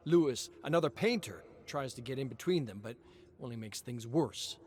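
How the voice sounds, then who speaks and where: A man delivers dramatic story narration in a measured, expressive way. His voice sits at a middling pitch. There is clear ambient noise behind him.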